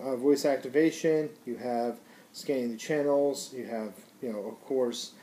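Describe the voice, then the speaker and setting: A man's voice: medium pitch, mellow, a little hiss or hum. A man giving a casual, unscripted product review in a rambling, conversational, slangy way.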